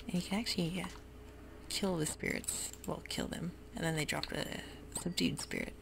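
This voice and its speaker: Soft close whisper with a gravelly edge. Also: medium pitch, fairly even intonation, moderate pace. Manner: soft mostly unvoiced whisper; hesitant, meandering, with many fillers. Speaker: gameplay ASMR host. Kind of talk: whispered ASMR game tour. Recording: audible hiss with keyboard clicks.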